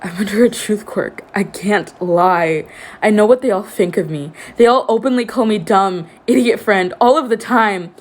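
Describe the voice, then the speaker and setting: A woman's voice: mid-range voice, moderate pace, pitched above the performer's own voice, natural voice. A woman voicing an anguished, self-loathing teenage boy in a dramatic fan fiction reading, pleading with strained emotion. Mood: pained, bitter.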